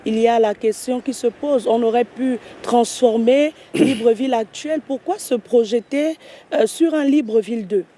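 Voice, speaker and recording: Female TV host, courteous formal delivery, warm low-key tone. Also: moderate pace. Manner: polite, formal questioning. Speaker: TV interviewer. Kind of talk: formal broadcast interview.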